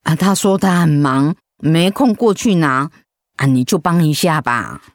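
A woman's voice: low-pitched, expressive, unhurried, dry recording, clean studio sound. A woman playing an elderly, firm but generous mother-in-law in a radio drama, speaking plainly. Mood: resigned. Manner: scolding, plain-spoken.

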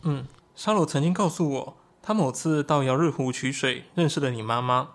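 An actor playing an earnest, excitable young shapeshifting tribesman in a Chinese audio drama, explaining things expressively. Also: mid-range voice, moderate pace, lightly acted. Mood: solemn storytelling.

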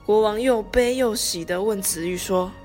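A woman's voice: mid-range voice, moderate pace, darkish tone. A woman with a Taiwanese Mandarin accent reading scripted narration for an amateur radio drama. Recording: background music under the voice.

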